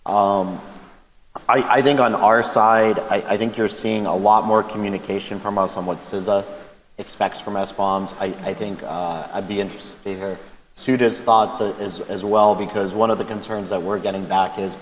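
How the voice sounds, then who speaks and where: A man with a loud, very low voice speaks on a conference panel. He talks conversationally, stumbling and repeating words as he goes. The sound is roomy, with a long hall reverb and faint ambience behind him. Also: steady tone, moderate pace, a little hiss or hum. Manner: conversational, frequent restarts and stammered repeats. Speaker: government panelist. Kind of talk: panel discussion.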